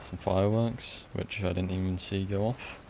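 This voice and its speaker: A man giving casual live gameplay commentary as a streamer, blunt and deadpan, in halting phrases. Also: deep voice, vocal fry, slight background noise. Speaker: game streamer.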